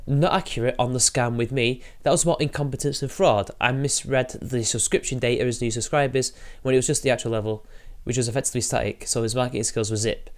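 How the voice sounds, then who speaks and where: A man's voice: medium pitch, steady tone, fast talker, hardly any pauses. Rambling man streaming. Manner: rambling, talks to chat while fiddling with software. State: sniffly from allergies. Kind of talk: casual live-stream commentary.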